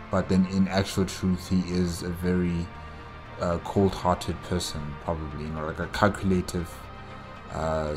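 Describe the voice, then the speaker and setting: A man giving casual solo reaction commentary as host, halting as he searches for words. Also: deep voice.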